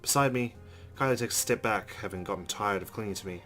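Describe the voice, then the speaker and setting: A man's voice: lowish voice, quick pace, bright, forceful tone. A man hosting a let's play, reading visual novel narration aloud. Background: game music.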